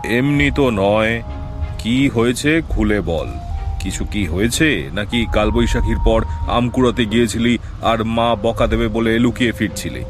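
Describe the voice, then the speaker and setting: A man's voice: fairly low pitch, a little slow, over music, close to an everyday voice. A man playing a concerned village schoolmaster in an audio horror story, questioning and admonishing, with a kindly scolding way. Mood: probing, concerned.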